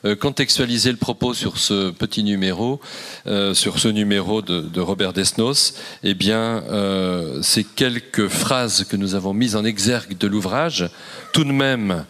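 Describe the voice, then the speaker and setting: An older man moderating a panel in a lecture hall, measured and slow when reading a passage aloud. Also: fairly low pitch, fairly bright tone. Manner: measured, reads a quoted passage slowly.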